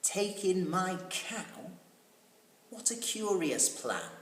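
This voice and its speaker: A storyteller doing an animated, exclaiming little old lady. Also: medium pitch, drawn-out words. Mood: puzzled. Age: elderly. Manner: dramatic, exclaiming in distress. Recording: echoey, reverberant room.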